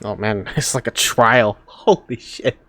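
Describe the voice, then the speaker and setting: A woman's voice: a bass voice, a little slow, fairly bright tone, no room sound. A woman giving casual live gaming reactions, exclamatory and slangy with frequent swearing. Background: game music.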